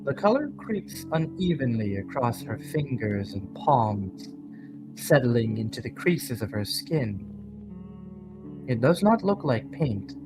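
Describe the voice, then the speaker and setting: A man narrates a dark audio drama at a very slow, deliberate pace. His pitch moves expressively as he paints each scene with dramatic weight. Music plays softly behind his voice. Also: very animated, low-quality recording. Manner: measured, dramatic storytelling delivery. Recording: background music under the voice.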